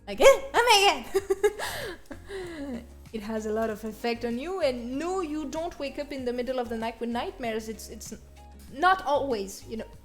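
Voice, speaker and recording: A woman's voice: on the high side, normal speed. A woman with a French accent giving a casual, chatty livestream drawing tutorial with playful, self-mocking asides. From France. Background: music.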